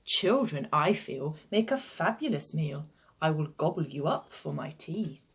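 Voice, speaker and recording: Lady doing a grumpy old storybook giant, greedy n menacing, booming, slightly husky, swoops up and down. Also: big pitch swings, measured pace, exaggerated voice. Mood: menacing, gloating.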